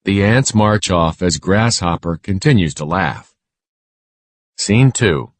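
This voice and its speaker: Low-pitched man with very lively intonation. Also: takes it slowly, dry recording. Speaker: narrator of a children's reading lesson. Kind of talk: scripted read-aloud lesson narration.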